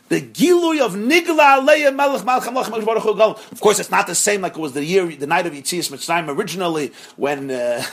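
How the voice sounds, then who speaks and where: A rabbi teaching a class on a religious text, earnest and emphatic, who repeats phrases for stress and sprinkles in Hebrew and Yiddish terms. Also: male voice, sits high, creaky, a bit bright, breathy edge. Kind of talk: explaining a religious text to students.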